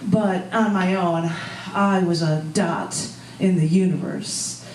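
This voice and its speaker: A woman's voice: on the low side, steady tone, slow, darkish tone. American woman poet, roomy distant live recording. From United States. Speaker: poet and guest host at an open mic. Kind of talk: live spoken-word poetry reading with casual asides. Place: indoor bar gallery open mic.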